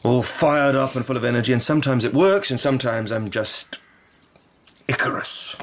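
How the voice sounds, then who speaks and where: A man acting a self-absorbed, dispirited, educated writer in a period radio drama, speaking in a hesitant, musing way with a gravelly voice. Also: medium pitch, normal speed, natural voice. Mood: brooding, confiding.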